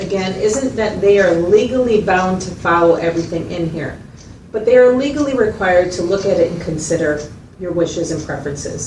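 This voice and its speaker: A woman's voice: medium pitch, natural voice. A woman playing a patient, methodical mental health facilitator who explains step by step and checks understanding in a dramatized consultation. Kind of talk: explanatory one-to-one consultation, role-played. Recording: roomy, distant mic, noisy room sound.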